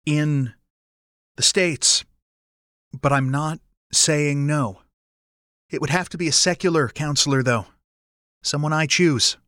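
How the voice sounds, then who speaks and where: A guarded adult son in an audiobook acted by a man, bitter and wounded underneath. He talks in terse, halting phrases. The sound is close and dry. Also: fairly high pitch, a bit bright, no echo. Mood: cautious. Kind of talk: audiobook character dialogue.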